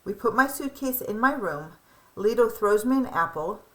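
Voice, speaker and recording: A young woman reading a children's picture book aloud in an expressive storybook style. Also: fairly high pitch, normal speed, low-quality recording.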